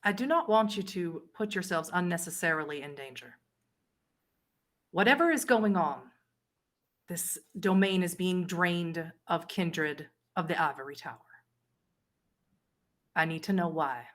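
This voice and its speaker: A woman playing a haughty, powerful vampire ruler in a tabletop roleplay, measured and imperious, with deliberate pauses. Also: lowish voice, close to an everyday voice. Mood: grave. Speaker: game master.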